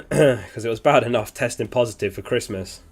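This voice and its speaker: A man hosting a casual livestream, reading viewer messages aloud in a relaxed, chatty way. He is sniffly and keeps clearing his throat. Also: mid-range voice, moderate pace. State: sniffly, getting over an illness. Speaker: fantasy football livestream host.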